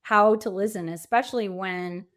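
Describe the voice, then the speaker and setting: A woman's voice: medium pitch, steady tone, moderate pace. A middle-aged woman answering as a podcast guest in a conversational, emphatic way, using vivid examples to make her points. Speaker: podcast guest, pivot coach.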